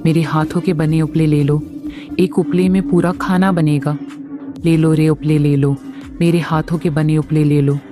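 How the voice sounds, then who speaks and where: A woman voicing a poor, lonely old village woman who speaks in a weary, plaintive way, in an animated storybook. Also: sits low, measured pace. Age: older. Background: background music.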